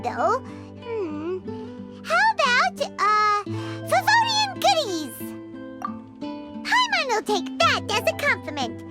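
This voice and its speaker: A woman's voice: extremely high pitch, heavily acted. A woman voicing a small, childlike floating girl sidekick in a video game, chirpy, eager and emphatic. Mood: pleased, musing. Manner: chirpy, emphatic, refers to herself in third person. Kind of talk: video game cutscene acting. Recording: prominent game music behind.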